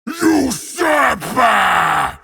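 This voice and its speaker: A man voicing a huge, enraged demonic warlord in a fantasy war story, bellowing drawn-out, gravelly, imperious shouts. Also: very high voice, very animated, voice pushed up, heavily acted. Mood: murderous fury. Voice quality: gravelly, roaring.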